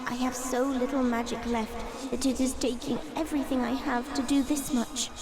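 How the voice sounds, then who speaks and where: A woman's voice: high-pitched, flat delivery, moderate pace, close to an everyday voice. A woman playing a dying magical tree seed spirit in a fantasy audio drama, speaking in a formal, grave, earnest way. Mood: solemn.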